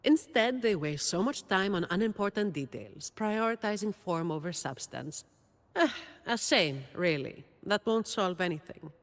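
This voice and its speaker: A woman playing a weary, dutiful young office employee in a video game, delivering scripted monologue in a dry, deadpan way. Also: medium pitch, a little slow, long reverb tail.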